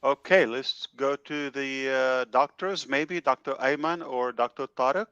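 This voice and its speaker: Man, online meeting host, welcoming, crisp clear voice, band-limited video-call sound. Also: medium pitch, unhurried, fairly bright tone, no room sound, muffled recording. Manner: effusive, welcoming. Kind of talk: hosting an online team meeting.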